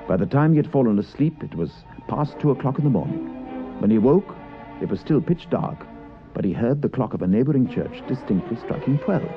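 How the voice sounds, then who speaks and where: A man's voice: medium pitch, big pitch swings, a little fast. A man narrating a scripted story dramatically in the style of an old radio broadcast. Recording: muffled vintage radio broadcast with music.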